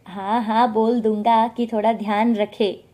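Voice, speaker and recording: Casting: henpecked, dismissive son for a cartoon family tale, with a mellow delivery woman performer. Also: fairly high pitch, flat delivery, measured pace, close to an everyday voice. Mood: placating. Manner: curt, dismissive. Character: cold, henpecked adult son turning on his mother.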